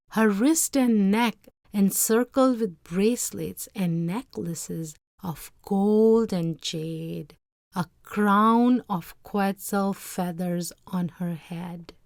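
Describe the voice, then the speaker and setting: A woman narrating a storybook in a measured, dramatic read-aloud style. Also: lowish voice, a little mellow, dry recording, clean studio sound. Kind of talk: expressive scripted storybook narration.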